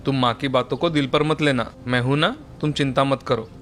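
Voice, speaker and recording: A man voicing a caring, reassuring young older brother in an animated story. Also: medium pitch, a little fast, soft background music, natural voice. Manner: gentle, reassuring. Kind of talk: cartoon story voice acting.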